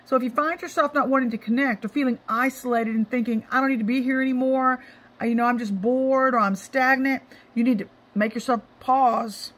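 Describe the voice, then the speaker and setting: Middle-aged woman, ministry host. Raspy, slightly high voice, emphatic and informal, hammering phrases by repetition. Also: steady tone, fairly quick, fairly bright tone. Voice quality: scratchy. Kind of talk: informal devotional exhortation.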